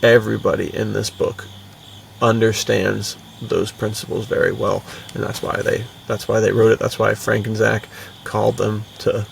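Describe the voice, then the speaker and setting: A man with a gravelly, creaky voice, talking as a podcast co-host in a casual, enthusiastic, slangy way. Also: fairly low pitch, little pitch movement, moderate pace, far from the mic, slight background noise.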